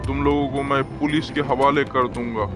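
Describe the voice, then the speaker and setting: A man's voice: mid-range voice, flat delivery, normal speed, music in the background, lightly acted. A man voicing a greedy, blunt, bullying landlord in a cartoon story. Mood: suspicious, accusing. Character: greedy, bullying male landlord with a murderous past. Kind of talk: Hindi cartoon story dialogue.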